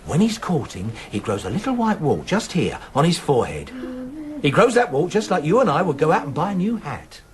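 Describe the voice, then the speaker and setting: Chatty London fishmonger, rapid patter, very lively pitch, homely radio comedy. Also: male voice. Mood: genial, chatty. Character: chatty London fishmonger, man, genial and salesmanlike. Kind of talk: British radio comedy drama acting.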